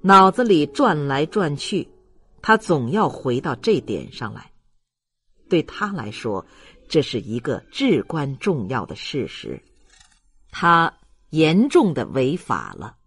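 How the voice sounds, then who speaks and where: Casting: male narrator for a classic novel, husky voice, unhurried delivery with lively intonation. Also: a little high. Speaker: audiobook narrator. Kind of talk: scripted literary narration.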